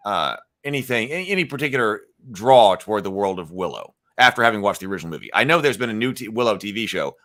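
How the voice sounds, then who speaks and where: Male stream host, low and punchy, rambling and unscripted, full of restarts. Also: fairly bright tone. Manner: rambling, thinks aloud with frequent restarts and fillers; reads viewer chat comments aloud and replies. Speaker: livestream host. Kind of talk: casual live-stream chat about tabletop gaming.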